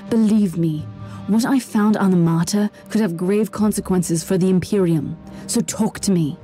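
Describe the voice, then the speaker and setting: A woman's voice: medium pitch, moderate pace, mellow, faint music behind, lightly acted. An actress playing a stern, righteous, unyielding female inquisitor in a video game cutscene. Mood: demanding.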